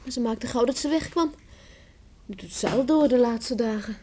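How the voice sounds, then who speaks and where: An actress in a radio play playing a hopeful young farmer's daughter, eager and earnest in manner. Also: female voice, fairly high pitch, normal speed, a little mellow, natural voice. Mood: slightly indignant. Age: young adult. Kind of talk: Dutch radio play acting. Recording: noisy old radio play recording.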